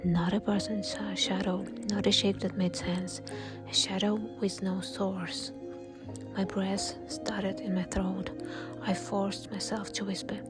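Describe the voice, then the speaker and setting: A woman narrating a horror story in a breathy, unvoiced whisper. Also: mid-range voice, flat delivery, measured pace. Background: soft music.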